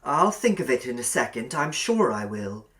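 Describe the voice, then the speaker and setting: Man playing a polite, earnest teenage boy, lively pitch. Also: normal speed. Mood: eager, puzzled. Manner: polite, hesitant. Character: polite, earnest seventeen-year-old boy, mildly puzzled. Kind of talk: audiobook character acting.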